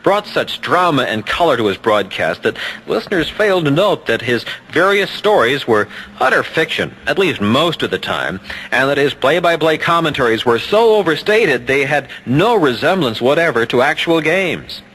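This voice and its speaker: A man's voice: on the high side, very animated, moderate pace, a bit bright, slightly rough. A man hosting a radio show, reading scripted narration. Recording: noisy off-air radio tape.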